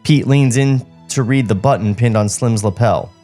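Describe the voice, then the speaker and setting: Man, podcast co-host, casual, mocking, script read-through, mid-pitched, music underneath. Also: moderate pace, dark tone. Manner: mocking, sardonic. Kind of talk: casual comic banter and script table read. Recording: music playing behind the voice. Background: background music.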